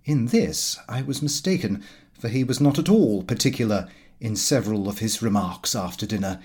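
A man reading a comic first-person diary aloud in an expressive, fussy storytelling manner. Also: fairly low pitch, moderate pace, husky. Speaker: audiobook narrator.

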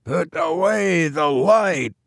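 A man voicing a frightened, pathetic stranger in a boat, faint and halting, pleading hesitantly in an audiobook. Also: on the high side, lively intonation, creaky, no echo, clean studio sound, pitched above the performer's own voice, heavily acted. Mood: fearful. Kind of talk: audiobook character acting.

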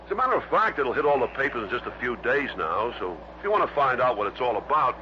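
A man's voice: medium pitch, very animated, fast talker, natural voice. An actor playing a genial, chatty but slightly guarded American businessman in a 1960s radio drama, hearty and conversational. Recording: muffled, noisy vintage radio broadcast.

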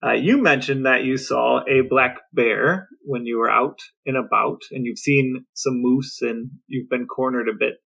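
Male podcast host, casual storyteller, mid-pitched voice with plenty of vocal fry. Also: steady tone, unhurried, few pauses, fairly bright tone, poor audio.

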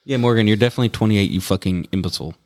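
A man's voice: on the low side, rapid-fire, a little mellow. A man in his twenties co-hosting a casual podcast, crude and swearing constantly as he teases his friends. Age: 20s. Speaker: podcast co-host.

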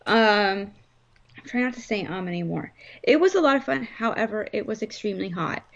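A woman co-hosting a live stream in casual chat, her voice hoarse, rambling with lots of fillers. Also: medium pitch, lively intonation, fairly quick, highs cut off. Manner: chatty, rambling, frequent fillers. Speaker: podcast co-host.

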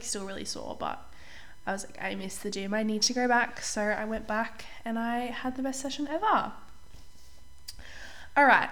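A young woman hosting a casual solo podcast as a life coach, chatty and upbeat, slightly hoarse while getting over a cold. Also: mid-range voice. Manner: chatty, upbeat, says "like" often, clears throat.

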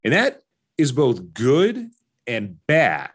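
An American man in his forties telling personal stories candidly as an interview guest, informal and halting, often repeating phrases for emphasis. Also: medium pitch, huge pitch swings, fairly bright tone, breathy edge. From Orange County, California. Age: 40s. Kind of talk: candid personal storytelling in conversation.

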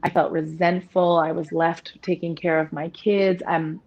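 A woman in her thirties with a deep voice, speaking openly and at length as an interview guest, with many restarts. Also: flat delivery, a little slow, darkish tone. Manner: open, rambling, restarts often. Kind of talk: candid personal conversation. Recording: dull lo-fi audio.

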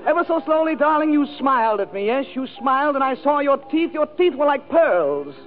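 High-voiced, gushing melodramatic lover, acted by a man. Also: very animated, a little fast, narrow, tinny audio, crowd noise. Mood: gushing, yearning. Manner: overwrought, sighing, repeating phrases for effect. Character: melodramatic, gushing male lover reciting lost romance. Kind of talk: 1940s radio comedy monologue. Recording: thin vintage radio broadcast with music, audience.

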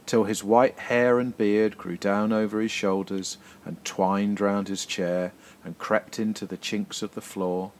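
A man narrates a fairy tale. He reads in a measured, literary storybook style. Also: fairly low pitch, level tone, a little hiss or hum. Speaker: guest story reader, poet.

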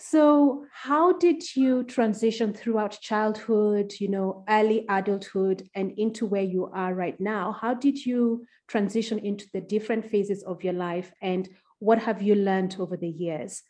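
A woman's voice: mid-range voice, fairly even intonation, unhurried, few pauses, mellow. A woman hosting a podcast, reading introductions and putting questions to a guest. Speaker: podcast host. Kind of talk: scripted podcast introduction and interviewing.

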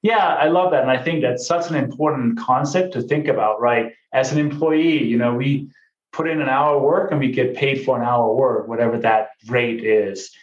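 A man's voice: medium pitch, moderate pace. A man in his late forties from Denmark, talking upbeat and conversationally as a podcast guest. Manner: upbeat, conversational, says "right?" and "you know" often. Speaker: guest, real estate investor. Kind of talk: casual interview talk. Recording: roomy, dull lo-fi sound.

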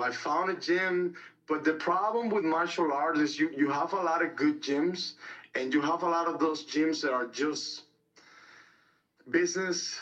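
Blunt man, bright tense voice. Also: fairly high pitch. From Cuba. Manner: blunt, rambling, repeats words, swears freely. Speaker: mixed martial arts fighter, podcast guest. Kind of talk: casual storytelling interview. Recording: dull lo-fi sound, distant from mic.

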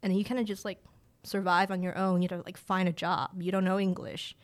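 Interview guest: woman, late twenties, first language a Chinese village tongue, casual and rambling, voice on the low side. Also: fast. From rural China. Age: late 20s. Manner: relaxed and chatty, with frequent "like" fillers and restarts. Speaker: guest, director of family empowerment.

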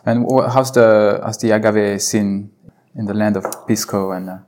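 A man's voice: on the low side, fairly even intonation, moderate pace, a little mellow, a little hiss or hum. A man hosting a casual interview, asking questions in an informal, loosely phrased way. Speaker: interviewer, host.

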